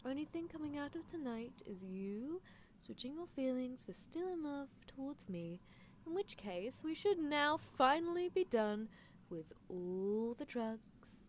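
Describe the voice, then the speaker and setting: A woman acts out a teasing, obsessive mad-scientist girl in an ASMR roleplay. The voice sits fairly high and swings animatedly in pitch. The manner is coaxing, clinical and theatrically possessive, over faint background noise. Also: a little mellow. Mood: smugly possessive.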